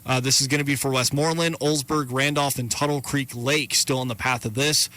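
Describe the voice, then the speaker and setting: A man with a bright, forceful voice of middling pitch hosts live radio storm coverage. He delivers warnings, lists of places and safety instructions in a matter-of-fact but urgent manner. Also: fairly even intonation, fairly quick. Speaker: radio weather coverage host. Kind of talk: live severe weather warning broadcast.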